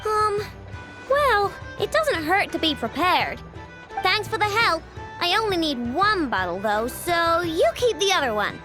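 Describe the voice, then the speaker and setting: A little girl inventor from a video game, bubbly and stubborn. Her voice is extremely high and swings widely as she chatters on, breezy and sure of herself. Music plays clearly behind her. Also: sing-song, measured pace, bright, forceful tone, heavily acted. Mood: breezy, eager. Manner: chatty, breezy, self-assured. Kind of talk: video game character acting.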